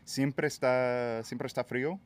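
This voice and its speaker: A man from Texas hosting a casual podcast chat outdoors by a pool, English his first language, talking in a relaxed, hesitant way with many fillers and restarts. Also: medium pitch, slow pace, smooth. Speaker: podcast host.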